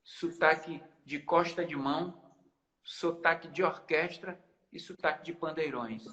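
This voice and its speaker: A man's voice: a little high, steady tone, measured pace, sharp tone, rough recording. A man, a guest musician in a casual live-stream interview, explaining patiently and often restarting his phrases. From Maranhão, Brazil. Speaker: guest musician, band founder.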